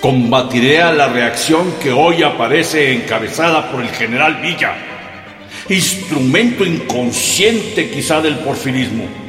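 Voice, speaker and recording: An actor playing a stern, solemn political leader declaiming formally in a radio drama. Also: male voice, mid-range voice, expressive, measured pace, over music, natural voice. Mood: solemn, defiant. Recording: echoey hall reverb.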